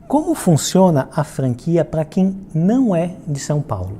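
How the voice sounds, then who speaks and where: A man's voice: a little high, sing-song, measured pace, warm tone, a little hiss or hum. A Brazilian man from Salvador giving an explanatory question-and-answer talk as a franchise founder, in a didactic way with frequent check-in tags. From Salvador, Bahia, Brazil.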